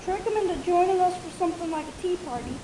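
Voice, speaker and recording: Little pony filly in an audio drama, tiny high voice, echoey with crowd noise behind. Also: female voice, fast talker. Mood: cold, plotting. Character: young filly pony, cold schemer, later tormented. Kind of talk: fan fiction audio drama.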